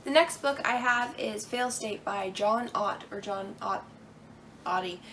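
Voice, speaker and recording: A woman's voice: medium pitch, measured pace, fairly bright tone, distant mic, slight background noise. A woman talking eagerly about the books she got, rambling a little between titles. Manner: enthusiastic, rambling. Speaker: co-host. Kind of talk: casual book haul chat.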